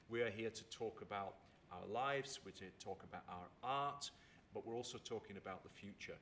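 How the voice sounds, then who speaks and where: A man in his fifties, from England, telling stories about his upbringing in an unhurried way and repeating words for emphasis, recorded in a theatre. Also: on the low side, fairly bright tone, a little hiss or hum. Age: 50s. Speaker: storyteller and writer. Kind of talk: reflective storytelling chat.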